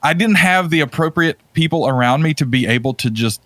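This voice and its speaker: A man's voice: fairly high pitch, lively intonation, fairly quick, fairly bright tone, no echo. Exasperated, emphatic man in casual podcast chat. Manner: exasperated, emphatic, repeats words for stress. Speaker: podcast co-host. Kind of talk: casual opinionated movie chat.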